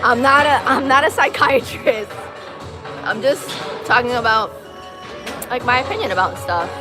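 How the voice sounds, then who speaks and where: A young American woman with a light Puerto Rican accent, chatting casually on a livestream in an expressive, slangy, opinionated way. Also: a little high, normal speed. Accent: Puerto Rican-tinged American. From Massachusetts, USA. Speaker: live streamer. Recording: music playing behind.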